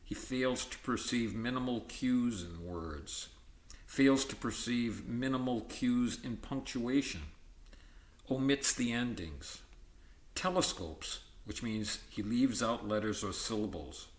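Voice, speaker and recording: A man reading an educational text aloud in a halting, list-like way, breaking off for informal asides. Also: medium pitch, reverberant. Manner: halting list-like delivery with ad-libbed comments. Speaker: reader of an educational book.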